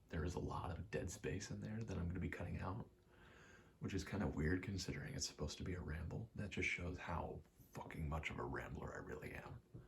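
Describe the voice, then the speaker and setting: A man's voice: low voice, creaky, slight background noise. A young man giving a casual, self-deprecating ASMR ramble, swearing freely. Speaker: ASMR video creator.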